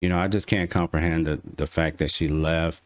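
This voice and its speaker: A man's voice: rumbling low, steady tone, a little fast. A man co-hosting a podcast, telling a story in a loose, profane, run-on way. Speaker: podcast co-host. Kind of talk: casual storytelling chat.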